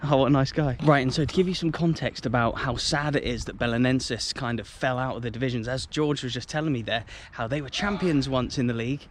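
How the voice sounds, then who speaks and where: A man's voice: medium pitch, fairly quick, few pauses, a little hiss or hum. An English man filming a football travel vlog, walking round a stadium outdoors and exclaiming about it to a handheld camera. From England. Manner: enthusiastic, exclaims often.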